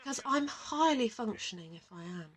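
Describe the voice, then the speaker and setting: A woman's voice: on the high side, lively intonation, moderate pace, a bit bright. A woman playing a brash, hard-drinking young agent in an audio roleplay, teasing and slangy. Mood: cheeky, indignant.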